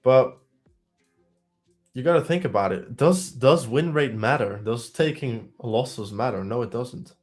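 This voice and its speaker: Slow-talking man with a heavy Belgian accent. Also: mid-range voice, darkish tone, faint music behind. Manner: unhurried, thinks aloud while counting trades. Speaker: day trading streamer. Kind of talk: live trading stream commentary.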